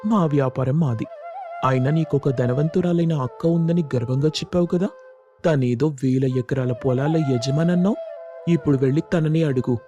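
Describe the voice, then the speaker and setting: A man's voice: mid-range voice, mellow, muffled recording, lightly acted. A man playing a callous, greedy young city fellow, cold and dismissive, in a dramatised moral story.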